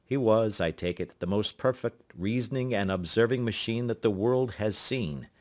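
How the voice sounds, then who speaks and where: A man with a low voice reads classic fiction as an audiobook narrator. His delivery is measured and formal, following the literary prose phrase by phrase. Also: darkish tone.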